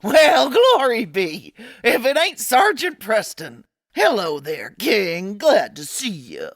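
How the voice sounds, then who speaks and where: Folksy old frontier cook, unhurried. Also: female voice, a little high, wildly swooping pitch, dry recording, studio quality, exaggerated voice. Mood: warm, folksy. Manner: folksy, rustic frontier speech. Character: kindly, folksy old woman cook in a Gold Rush town. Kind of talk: old-time radio drama acting.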